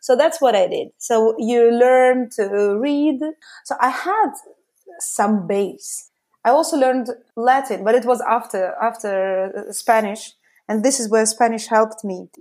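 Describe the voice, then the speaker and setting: A woman with a Russian accent talks as a podcast guest, her voice sitting a bit high. She tells stories in a lively, casual way, dotted with fillers. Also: a little slow. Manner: lively storytelling with frequent fillers. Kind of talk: casual interview conversation.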